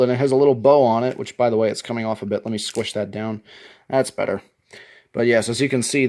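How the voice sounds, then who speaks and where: A man's voice: mid-range voice, darkish tone. A man presenting his hobby collection in a casual show-and-tell style, talking from home.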